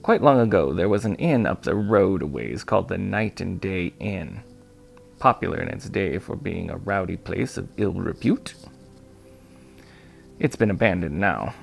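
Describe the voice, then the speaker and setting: A man voicing a kindly old male shopkeeper in an audiobook, with courteous, old-fashioned, gently formal phrasing. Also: sits low, lively intonation, normal speed, vocal fry, faint music behind, full character voice. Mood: storytelling, mysterious. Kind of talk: audiobook character voice.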